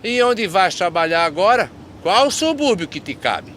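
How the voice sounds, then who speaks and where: A cartoon gravedigger from an animated verse drama, grumbling and sardonic. His voice is fairly high and sharp-edged as he declaims in rhyme, with city noise behind him. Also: moderate pace. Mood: wry. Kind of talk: animated film verse dialogue.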